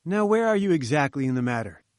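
An upbeat young newspaperman, as a male narrator puts him on, pitched well above the reader's own voice. He speaks briskly and confidingly. The sound is dry and close. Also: sing-song, dry recording. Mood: eager.

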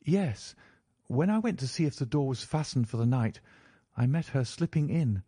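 A man voicing an earnest, gentle young lady with a polite, pleading manner in a storybook reading. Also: mid-range voice, lively intonation, normal speed, breathy edge, no echo, studio quality, voice pushed up. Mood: polite, candid.

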